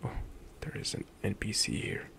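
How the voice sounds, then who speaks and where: A young man whispering softly in a slow ASMR ramble over gameplay, close to the mic, at home. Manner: soft whispered rambling with light laughs. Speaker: ASMR gaming streamer. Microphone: close studio mic, headset on.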